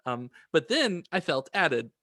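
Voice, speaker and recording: A man co-hosting a podcast with casual, halting banter and dry jokes. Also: on the high side, big pitch swings, sharp tone, no room sound. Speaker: podcast co-host.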